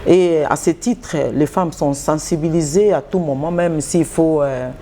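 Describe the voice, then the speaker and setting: Low, mellow-voiced official woman. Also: a little hiss or hum. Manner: measured, official, with hesitant fillers. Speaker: secretary general of the public service. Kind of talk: answering interview questions.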